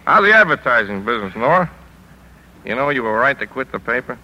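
A bitter, world-weary newspaper editor in a fifties radio play. His voice is very bright and hard-edged, with a punchy, sardonic bite and a middling pitch. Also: sing-song, fairly quick, low-quality recording. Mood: drunk, agitated. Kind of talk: 1950s radio drama acting.

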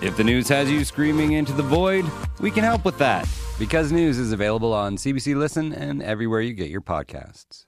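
A man's voice: medium pitch, sing-song, fairly quick, few pauses, over loud music. A man reading a breezy, playful promo for a comedy news podcast. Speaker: promo announcer.

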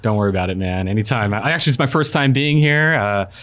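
A man born in the Philippines and raised in California, a guest speaking casually and warmly with frequent fillers. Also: on the low side, expressive, quick pace. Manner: friendly, talkative, frequent uh fillers. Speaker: guest, product manager. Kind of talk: casual podcast interview.

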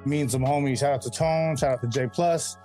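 A man's voice: medium pitch, steady tone, fairly quick, warm tone. Middle-aged guy, podcast guest, loose and slangy, easygoing talker, faint music behind. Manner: laid-back, rambling, slangy with frequent "you know what I mean". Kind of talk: casual interview chat.

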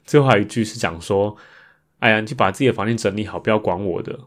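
Low-pitched Taiwanese man, casual podcast host. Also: fast talker. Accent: Taiwanese Mandarin. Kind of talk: casual podcast monologue about improvised songs.

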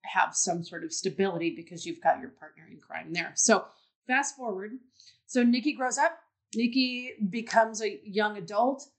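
A woman's voice: mid-range voice, normal speed. A woman hosting a podcast interview, asking questions and offering reflective, affirming comments. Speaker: podcast host.

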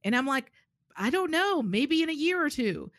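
A woman in her forties, a clinician, chatting casually in an animated, easy way. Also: sits high, no room sound, studio quality. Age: 40s. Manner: chatty, quick to laugh, animated. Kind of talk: casual chat.

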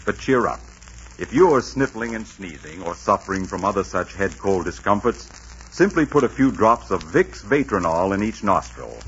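A man's voice: on the low side, slight background noise. A man announcing in a theatrical, polished, scripted 1940s radio style. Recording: dull 1940s radio recording, music.

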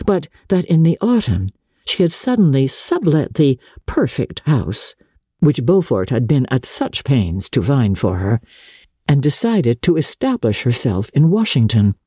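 A woman reading classic literature as a dramatic audiobook narrator, in a measured, expressive storytelling manner. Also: rumbling low, breathy edge, no echo, clean studio sound.

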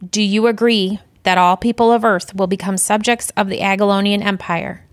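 A woman's voice: medium pitch, little pitch movement, moderate pace, no echo, natural voice. A woman playing a stern, exasperated alien empire commander in an audio drama, speaking formally with dry, curt delivery. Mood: formal, officious.

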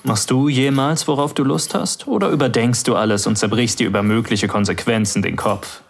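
A man acting in an intimate audio drama as a cheeky best man, soft and halting as he courts a woman. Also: mid-range voice, creaky. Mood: challenging, playful. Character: cheeky best man, daring but suddenly hesitant suitor.

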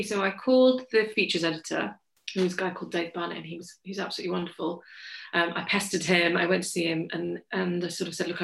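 A woman from England, a journalist telling her story in a forthright, lively interview. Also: lowish voice, gravelly. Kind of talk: candid conversational storytelling. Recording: echoey room.

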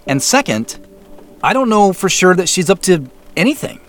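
An actor playing an earnest, cautious amateur sleuth in an audio drama, speaking in a measured, conversational way. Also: male voice, high-pitched, very animated, a bit bright. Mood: earnest, careful. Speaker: lead character and narrator. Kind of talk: scripted audio drama, first-person narration and naturalistic phone dialogue. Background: background music.

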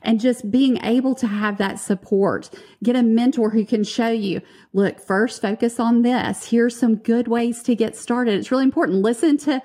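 A woman's voice: fairly high pitch, moderate pace, few pauses, mellow. A woman speaking as a guest in a casual interview, giving encouraging advice.

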